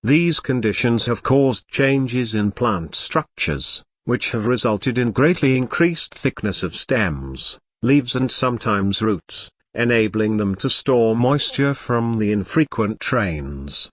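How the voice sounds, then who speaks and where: Man reading aloud, lively intonation, dry recording. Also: mid-range voice, takes it slowly, hardly any pauses, no echo. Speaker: exam practice narrator. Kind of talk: scripted read-aloud narration.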